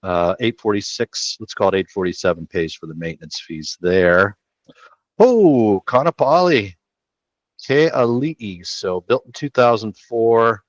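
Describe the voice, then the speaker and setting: A man's voice: fairly low pitch, animated, a little slow, no room sound. A middle-aged man hosting a real estate show, chatting casually and slangily through listings on a headset from a small room. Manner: laid-back, slangy, chatty. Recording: dull dry home recording.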